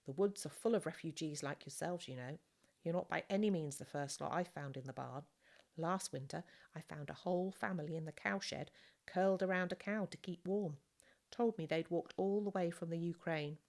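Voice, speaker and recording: A woman's voice: fairly low pitch, moderate pace, gravelly, a little mellow. A woman voicing a jovial, blustering and talkative male farmer in an audiobook of a children's novel, teasing and emphatic. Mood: grumbling, rambling. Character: jovial, blustering, talkative German farmer, gruff but kindly.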